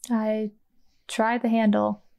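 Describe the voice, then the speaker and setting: Female tabletop player, slightly high voice, casual, tentative, thinking aloud. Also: monotone, slow, vocal fry, warm tone, smooth. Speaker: player in an actual play podcast. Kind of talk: casual first-person tabletop role-play.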